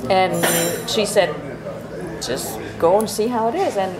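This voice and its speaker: A woman's voice: medium pitch, expressive, a little slow. An older woman from Vietnam telling her life story in an interview, hesitant with many fillers and frequent laughs. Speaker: interview guest. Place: restaurant in Bangkok. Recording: busy crowd noise behind.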